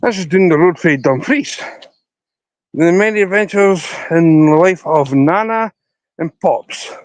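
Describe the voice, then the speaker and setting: A man's voice: fairly high pitch, lively intonation, measured pace, dry recording. A Scottish man chatting to viewers on a casual live stream outdoors by a river, rambling as he talks into his phone. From Scotland. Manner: rambling, chatty, talking to viewers while walking.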